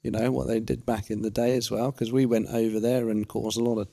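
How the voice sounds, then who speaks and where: Man, host, mid-pitched, rambling casual chat. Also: little pitch movement, brisk, gravelly, dark tone. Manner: rambling, chatty, many fillers and restarts. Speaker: podcast host.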